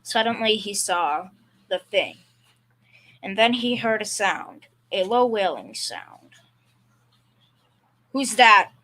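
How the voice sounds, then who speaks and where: A woman's voice: medium pitch, animated, slow, long pauses, sharp tone. A young female performer playing a blustering, secretly scared father with folksy, hesitant speech in a school old-time radio comedy. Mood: eerie reading. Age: young teen or child.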